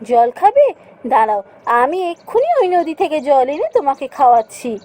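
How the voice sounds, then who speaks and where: A woman's voice: sits high, big pitch swings, normal speed, dark tone. A woman voicing a kind, worried young village girl in a children's fairy tale cartoon. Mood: concerned, helpful.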